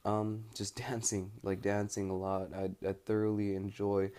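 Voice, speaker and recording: A young American man recording a casual, rambling spoken diary, pausing often mid-thought as he searches for words. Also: on the low side, flat delivery, mellow, clean tone. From United States. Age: young adult. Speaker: study-abroad student keeping an audio log.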